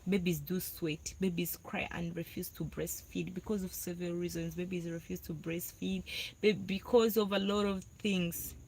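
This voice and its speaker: A woman's voice: on the low side, steady tone, moderate pace, creaky, a little mellow, slight background noise, low-quality recording. A young Ugandan woman hosting a casual live video about breastfeeding. She talks to her viewers, answers their comments and repeats herself a lot for emphasis. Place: parked car.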